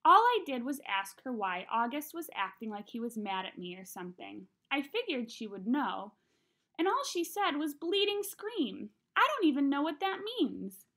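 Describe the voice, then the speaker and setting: A young woman with a slightly high voice reads a children's novel aloud. She is expressive and conversational, acting out the dialogue as she goes. She is recorded in a small room at home. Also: moderate pace. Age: young adult. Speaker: read-aloud narrator. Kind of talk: expressive audiobook-style reading of a children's novel.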